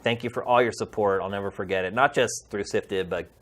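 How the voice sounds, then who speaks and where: A man's voice: fairly low pitch, fairly quick, fairly bright tone. A middle-aged man speaking as a podcast host, addressing viewers in a casual, heartfelt and emphatic way.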